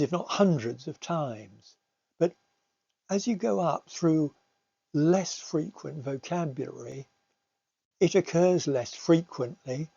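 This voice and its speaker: A male teacher explains his slides at a slow pace, often pausing and repeating words as he goes. His tone is soft-edged and mellow. The recording is slightly dull. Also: fairly high pitch, vocal fry, slightly rough. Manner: hesitant, halting delivery with repeated words. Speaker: teacher presenting a talk.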